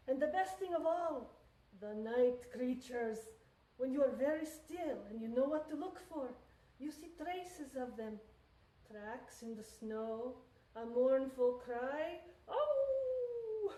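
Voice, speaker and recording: A woman voicing a gentle, nostalgic old grandmother with a put-on Russian accent in a filmed stage piece, speaking in a soft, wistful way. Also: high-pitched, voice pushed down. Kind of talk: filmed solo theatre performance. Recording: echoey room, mic far off.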